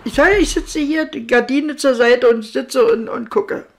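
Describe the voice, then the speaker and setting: An older woman giving a halting personal account in an interview at home. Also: high voice, creaky, faint music behind. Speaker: interviewed mother of a missing man. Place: living room at home. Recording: echoey domestic room.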